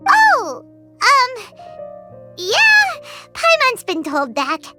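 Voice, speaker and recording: A woman's voice: extremely high pitch, wildly swooping pitch, drawn-out words, full character voice. A woman voicing a tiny, chatty fairy companion who refers to herself in the third person, in a game cutscene. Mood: excitable. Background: game music.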